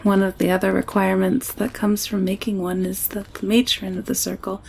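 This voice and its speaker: A woman acting a friendly, candid alien woman in an audio roleplay drama, chatting in a caring, gently teasing way. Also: mid-range voice, little pitch movement, brisk, gravelly, a little hiss or hum, close to an everyday voice. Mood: reassuring, open.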